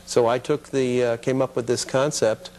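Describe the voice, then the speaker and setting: Middle-aged man reminiscing, medium pitch. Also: fairly even intonation, moderate pace, a little mellow. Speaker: interview guest, television producer. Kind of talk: casual reminiscing. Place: television studio.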